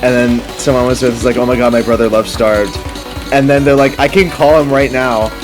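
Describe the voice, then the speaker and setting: Young man, middle pitch, casual gaming commentary, rambling, profane jokes, music behind. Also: steady tone, a little fast. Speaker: gaming video host. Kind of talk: casual game commentary with friends.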